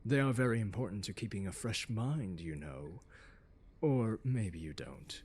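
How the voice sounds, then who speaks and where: A man playing a haughty, condescending, seductive scholar in an ASMR audio roleplay, speaking in a pompous, teasing drawl with deliberate emphasis. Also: fairly low pitch, animated, a little mellow, husky, full character voice. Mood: smug, teasing. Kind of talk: ASMR roleplay, scripted lecture to the listener. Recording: long hall-like reverb.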